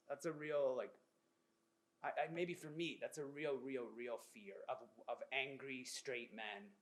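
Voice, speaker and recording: A man reflecting in a group discussion, halting and emphatic, repeating his words. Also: mid-range voice. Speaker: panelist.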